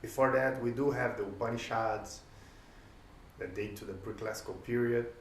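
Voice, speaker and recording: A man's voice: mid-range voice, fairly even intonation, a little hiss or hum. Man with a Portuguese-native accent, reflective. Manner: thoughtful, pauses often, rhetorical questions. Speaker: host. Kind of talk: reflective solo talk. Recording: roomy, distant from the mic, faint ambience.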